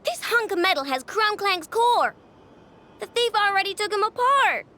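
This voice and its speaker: A woman's voice: very high-pitched, animated, moderate pace, bright, forceful tone, a little hiss or hum, heavily acted. A woman voicing a plucky, determined young girl inventor in a video game, earnest and emphatic in a childlike way. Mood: alarmed. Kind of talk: scripted video game dialogue.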